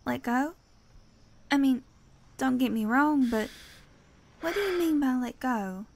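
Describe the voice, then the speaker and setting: An actress playing a caring, plain-spoken confidante in audio theater, earnest, gentle and folksy. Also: female voice, high-pitched, lively intonation, slow, creaky, full character voice. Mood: concerned, questioning.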